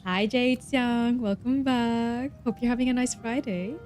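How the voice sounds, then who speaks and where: A woman's voice: a little high, fairly even intonation, unhurried, darkish tone, faint music behind. A woman game streamer giving casual, chatty live reactions and murmured asides.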